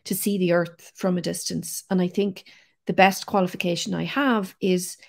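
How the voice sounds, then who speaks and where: Hoarse middle-aged Irish woman with a cold, gravelly, reflective guest on a video call. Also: lowish voice, fairly even intonation, normal speed. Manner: open, reflective, rambling. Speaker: guest, space communicator. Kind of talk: casual interview chat. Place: home room.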